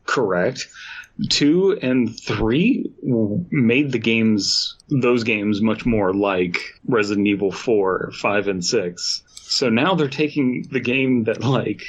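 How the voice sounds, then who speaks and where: A man's voice: on the low side, slow pace, muffled recording. A man co-hosting a podcast, chatting casually about games with dry jokes. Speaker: podcast co-host. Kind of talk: casual gaming chat.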